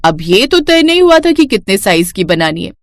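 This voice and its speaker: A woman voicing a clever, self-assured young bride in a cartoon story, brisk and politely cheeky. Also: medium pitch, very animated, no room sound. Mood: confident, cheeky.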